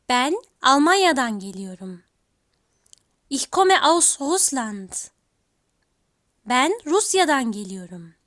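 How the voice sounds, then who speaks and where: Woman doing slow language drills, high voice, very lively up and down, repeats every line twice. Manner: reads each phrase slowly twice, then translates. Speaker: language teacher.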